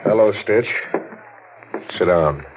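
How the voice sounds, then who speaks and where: An actor playing a stern, methodical district attorney in a 1940s radio drama, measured and probing. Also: male voice, low-pitched, noisy background. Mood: grave, probing. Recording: muffled vintage radio.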